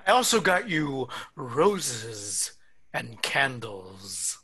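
A man's voice: medium pitch, expressive, bright, forceful tone, exaggerated voice. A man reading an eager, naive, lovestruck young man in a comedy table read. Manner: eager, slow, giggly.

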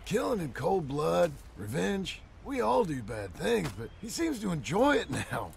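A nervous young outlaw. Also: male voice, high-pitched, huge pitch swings, unhurried, vocal fry, a little hiss or hum, full character voice. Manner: nervous and earnest. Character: anxious young male outlaw, family man. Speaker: outlaw gang member.

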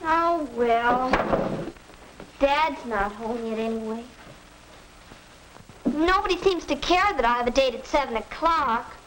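An actress playing a pert, put-upon American teenage daughter in a 1940s educational film, with an earnest, slightly stagey delivery. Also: female voice, high voice, lively intonation, slow, pauses often, fairly bright tone, exaggerated voice. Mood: complaining. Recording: noisy, crackly 1940s film soundtrack.

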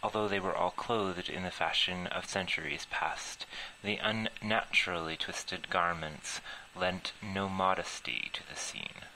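A man's voice: low-pitched, measured pace, gravelly, bright, forceful tone, a little hiss or hum, poor audio. A man reading his own story aloud as amateur first-person narration, occasionally stumbling over words.

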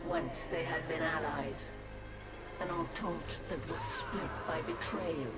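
An actor playing a solemn narrator of a grim sci-fi war game, with measured, portentous scripted delivery. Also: mid-range voice, natural voice. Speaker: cutscene narrator. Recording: echoing, under loud music. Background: loud cinematic music.

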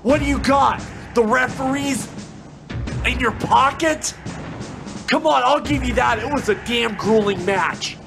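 A man delivering a scripted trash-talk wrestling promo, swinging between loud shouted taunts and low muttering, recorded close on a webcam indoors. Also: unhurried. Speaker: hobby wrestler in character. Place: indoor room with window blinds. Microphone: webcam close to face. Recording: music clearly playing behind.

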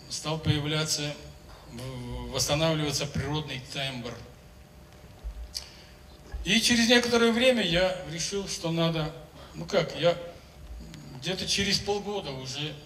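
A man's voice: a little high, sharp tone, a little hiss or hum. Older man speaking on a big stage. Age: 70s. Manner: measured, declamatory storytelling. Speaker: singer giving a testimonial on stage. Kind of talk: stage speech to an audience. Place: large concert hall stage. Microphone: handheld microphone. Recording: roomy hall sound from a distance.

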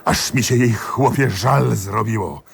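An actor in a radio drama playing a jealous husband and magistrate, confiding ruefully and emphatically to a friend. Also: male voice, on the low side, unhurried, vocal fry, slightly rough, full character voice. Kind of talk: theatrical radio drama monologue.

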